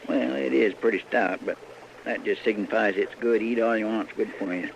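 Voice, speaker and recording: An actor playing a good-natured, gullible deputy with a rural American drawl in an old radio western. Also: male voice, fairly low pitch, fairly quick, vocal fry. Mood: gossipy, earnest. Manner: folksy, rambling, drawling. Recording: dull, lightly hissy old radio recording.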